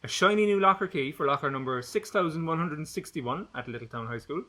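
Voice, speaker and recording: A man's voice: on the high side, fairly quick, sharp tone. A man narrating a funny children's book in a playful, jokey way. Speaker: audiobook narrator, author.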